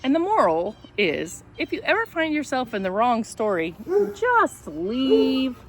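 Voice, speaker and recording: Slow, animated woman reading to children. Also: a little high, slight background noise. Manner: addresses children directly, playful and dramatic. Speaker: storytime host reading a picture book. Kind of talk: animated read-aloud for children with chatty asides.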